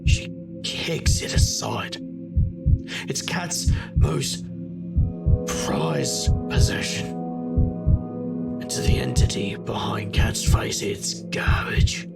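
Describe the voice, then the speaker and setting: A man's voice: lowish voice, huge pitch swings, takes it slowly, vocal fry, long pauses, loud music behind the voice, exaggerated voice. A man playing a wry, brooding middle-aged magician detective, narrating an audio drama in first person. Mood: grim.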